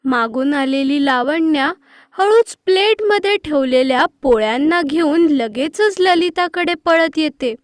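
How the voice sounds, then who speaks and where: A woman storyteller with a high voice reads a scripted children's tale. The recording is very dry and close. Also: no echo. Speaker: narrator.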